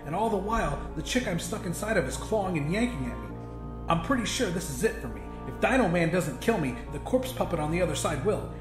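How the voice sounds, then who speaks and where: A man narrates a horror tale in a high-pitched voice. His delivery is dramatic and intense, told in the first person. The recording has a roomy echo, with music clearly playing behind him. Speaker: audiobook narrator.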